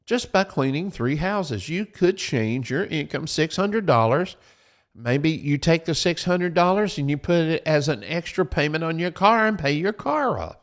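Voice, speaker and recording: A man with a slightly raised voice talks to his viewers like a preacher at a pulpit. His delivery is folksy and repetitive, circling back to his points. He keeps telling people to write things down. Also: fairly high pitch. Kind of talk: preaching-style financial advice talk.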